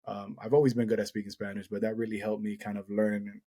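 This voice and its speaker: A man's voice: lowish voice, flat delivery, fast talker, warm tone, breathy edge. A man in his thirties hosting a podcast, telling stories in a casual, rambling way with lots of "like" and restarts. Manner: storytelling, many restarts and "like". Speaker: podcast host. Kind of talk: casual chat.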